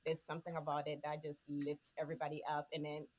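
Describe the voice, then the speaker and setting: A woman's voice: deep voice, monotone, quick pace, a little mellow. A woman from Ghana, a podcast co-host, sharing holiday memories in casual chat with frequent laughs.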